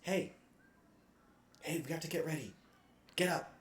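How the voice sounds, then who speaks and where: A man voicing a person urging a sleeping companion to get up, in a hushed manner in a tabletop game. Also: a little high, measured pace, echoey room, pitched above the performer's own voice, close to an everyday voice.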